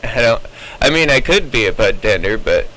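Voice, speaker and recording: A man's voice: mid-range voice, lively intonation, moderate pace, sharp tone, rough recording. A man chatting casually over CB radio, explaining his opinions at length in a rambling way. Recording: CB radio chatter with faint noise.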